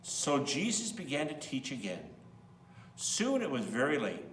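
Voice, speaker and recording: Male priest for children's story reading; expressive, bright delivery, echoey room, mic set back with faint hum. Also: medium pitch, a little slow, far from the mic. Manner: expressive, explains in simple words as to children. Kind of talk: storybook read-aloud with asides to children.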